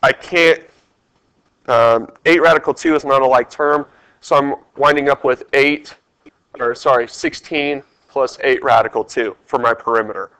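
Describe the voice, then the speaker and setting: Congested male teacher, mid pitch, dry close mic, quizzes the class on each step. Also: moderate pace, bright, forceful tone, no room sound, rough recording. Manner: talks through steps, quizzing the class. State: stuffed up, congested. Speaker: math teacher. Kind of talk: test review lesson over screen capture.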